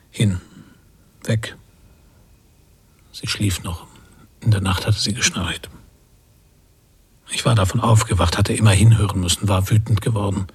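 An actor playing an anxious, brooding emigrant husband in a radio drama, speaking in halting fragments with a husky, breathy voice that often nears a whisper. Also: male voice, on the low side, exaggerated voice. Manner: halting, introspective, short fragments. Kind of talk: literary radio drama monologue.

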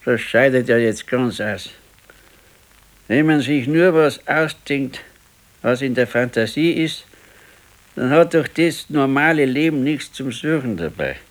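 A man's voice: mid-range voice, animated, measured pace, natural voice. An actor playing a discontented, regretful husband in his sixties in a naturalistic radio play, talking plainly with a Bavarian accent. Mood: wistful. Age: 60s. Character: discontented, regretful ailing 68-year-old husband. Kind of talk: naturalistic 1970s radio play dialogue in dialect.

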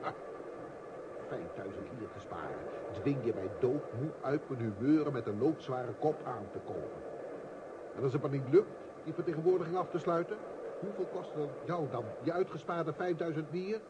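A querulous, henpecked husband played by a man in a radio drama. He whines and blusters in a fairly high voice, full of self-pity. Clear noise runs behind the old recording. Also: animated, moderate pace, lightly acted. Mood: grumbling. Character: grumbling, self-pitying small shopkeeper, henpecked husband and father. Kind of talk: radio play acting.

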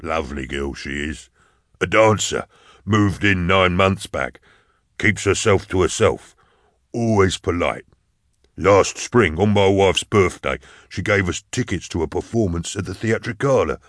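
A man voicing a fat, gruff man in his sixties, an old soldier and building caretaker in an audiobook. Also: sits low, expressive, measured pace, no room sound, studio quality. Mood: chatty, respectful. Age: 60s. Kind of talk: audiobook character dialogue.

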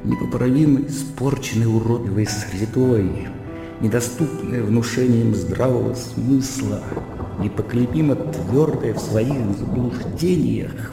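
Brooding gentleman in a reverberant hall. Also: medium pitch, vocal fry. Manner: introspective, formal, self-reproaching, deliberate phrasing. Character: brooding, self-absorbed educated gentleman tormented by attraction. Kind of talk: Russian radio drama acting. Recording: reverberant hall with music behind.

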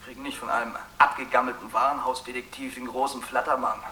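A man's voice: mid-range voice, flat delivery, fast, close to an everyday voice. Distant, sneering young thug acted by a man. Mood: goading. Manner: goading, sneering, slangy. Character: taunting, rough young street gang criminal. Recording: distant from mic, noisy ambience.

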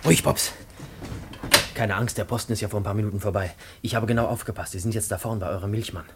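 An actor playing an eager, reassuring young lover in a naturalistic German radio drama, speaking tenderly and urgently. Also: male voice, on the low side, steady tone, fast talker, very noisy, natural voice. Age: young adult.

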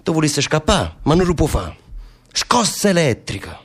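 A man's voice: on the high side, big pitch swings, moderate pace, husky, full character voice. An actor playing a brooding, fatalistic man facing death, speaking earthy, insistent dialect with a southern Italian accent in a theatre drama. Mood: grim. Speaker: stage actor in a radio theatre play.

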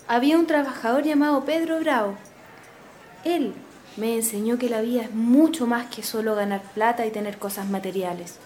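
Actress as high-voiced young Chilean activist. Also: female voice, darkish tone, close to an everyday voice. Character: young committed Chilean woman activist, guarded but frank. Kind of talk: natural radio drama dialogue.

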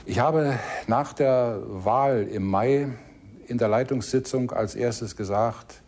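A man from East Germany giving reflective interview testimony in a hesitant, measured way. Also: medium pitch, darkish tone. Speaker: former party secretary.

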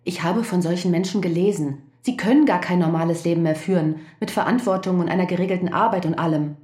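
Woman playing a worried wife, mellow dark tone, pleading, roomy echo, radio play. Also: on the low side, fast, natural voice. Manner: anxious, pleading. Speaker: wife Hazel. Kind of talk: radio drama acting.

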